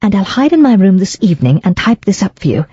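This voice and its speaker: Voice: medium pitch, very animated, fast talker, warm tone, husky, no room sound. A reader voicing a chatty, hopeful young woman, lifting the voice slightly. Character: lively young society woman, Daisy. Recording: dull lo-fi, dry.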